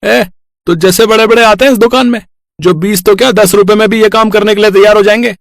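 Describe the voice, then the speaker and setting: A man voicing a rude, dismissive village tailor in an animated moral story, scornful and brusque. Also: high-pitched, brisk, vocal fry, no room sound, studio quality.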